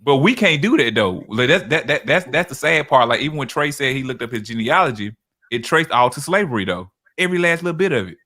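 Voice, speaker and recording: A man's voice: mid-range voice, expressive, quick pace, bright, forceful tone. A man from the United States hosting a casual podcast chat, often repeating words as he talks and acting out quoted voices. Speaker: podcast host.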